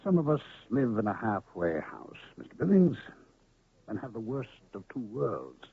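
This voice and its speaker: A husky, theatrical medicine man. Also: mid-range voice, sing-song, moderate pace, no room sound, exaggerated voice. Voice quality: grand, theatrical. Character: mysterious male medicine man and illusionist. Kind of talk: 1970s radio drama acting. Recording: lo-fi phone-band old radio recording.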